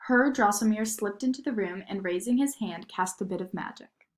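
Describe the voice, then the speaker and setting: A woman's voice: mid-range voice, normal speed. Woman reading a storybook aloud. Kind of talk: expressive read-aloud storybook narration.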